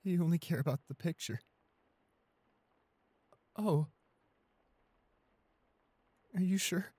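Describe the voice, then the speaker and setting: A man acts out a shy, clumsy young boyfriend in an intimate audio roleplay. His voice is mellow and soft, recorded close and dry. He is anxious and devoted, hesitating and stammering as he speaks. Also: a little high, slow pace, husky, no room sound. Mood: apologetic, uncertain. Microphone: close studio condenser microphone.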